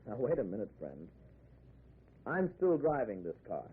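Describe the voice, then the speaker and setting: An actor playing a friendly, talkative driver in a breezy, nosy manner, in 1940s radio drama style. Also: male voice, fairly low pitch, sing-song, normal speed. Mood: businesslike. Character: chatty, friendly middle-class man driving at night. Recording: tinny, noisy old radio recording.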